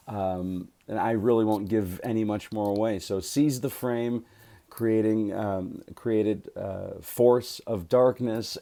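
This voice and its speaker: A man's voice: on the low side, warm tone. A man, a voice actor, talking casually to his viewers in a rambling way, with many fillers and restarts. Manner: grateful, chatty. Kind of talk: casual vlog talk to camera.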